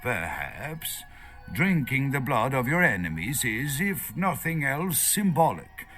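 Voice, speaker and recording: Game role: nervous captive archaeologist, bright lively voice, learned and hesitant, explains like a lecturer. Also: male voice, medium pitch, takes it slowly, faint music behind, heavily acted. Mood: scholarly, animated. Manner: lecturing, hesitant, searching for words. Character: nervous, erudite male foreign archaeologist held captive. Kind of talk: video game voice acting.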